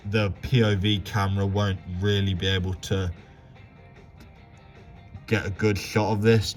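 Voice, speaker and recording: A man with a bit of a cold explains a car repair step by step, often stopping to correct himself. His voice sits low and he speaks slowly. Faint music plays underneath. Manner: halting, self-correcting, steady walkthrough. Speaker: home mechanic. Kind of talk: casual step-by-step repair commentary.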